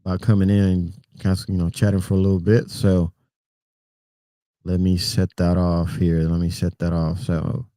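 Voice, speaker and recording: A middle-aged Puerto Rican man with a low, mellow voice chats loosely on a livestream, greeting viewers and chuckling between thoughts. The sound is dry and close, and he sometimes drifts away from the mic. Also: normal speed, no room sound. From Puerto Rico. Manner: relaxed, rambling, greets chat by name, chuckles often. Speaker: trucker livestream host. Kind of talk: casual livestream chat with viewers.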